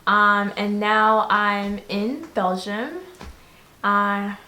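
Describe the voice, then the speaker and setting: A woman's voice: medium pitch, fairly even intonation, very slow, bright, forceful tone. A young woman, chatting casually and a little hesitantly as an interview guest. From born in DR Congo, grew up in the United States. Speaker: interview guest, English teacher. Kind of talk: casual conversational interview answers. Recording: echoey room sound.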